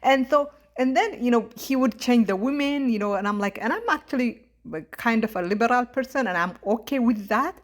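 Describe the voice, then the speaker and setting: A young woman with a thick East African accent, talking in a casual monologue, indignant and halting, with many fillers and restarts. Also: fairly high pitch, expressive. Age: young adult. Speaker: YouTube commentator.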